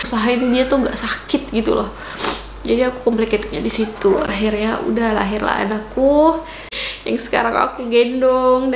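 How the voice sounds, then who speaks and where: Female vlogger, casual confiding storyteller, fairly high voice, echoey room recording with faint noise behind. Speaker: vlogger, mother of four. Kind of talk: casual personal storytelling vlog. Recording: roomy echo, faint background noise.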